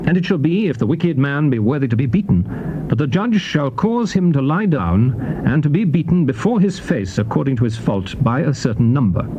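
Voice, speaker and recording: A man giving a solemn, declamatory dramatized reading of King James scripture in measured phrases. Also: fairly high pitch, big pitch swings. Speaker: scripture reader. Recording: dull, noisy old cassette tape transfer.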